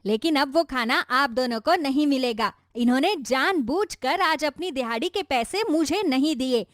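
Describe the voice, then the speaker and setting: A woman's voice: high voice, animated, moderate pace, a bit bright, no echo, studio quality. A woman voicing a greedy, rude young daughter-in-law in a cartoon story, scolding and making insolent demands. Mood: scornful, angry. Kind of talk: Hindi cartoon story voice acting.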